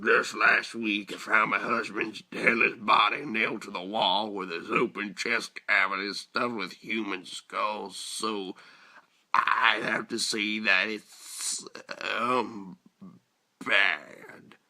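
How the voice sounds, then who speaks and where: A man voicing a deadpan, world-weary housewife in a comic dub. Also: lowish voice, takes it slowly, heavily acted.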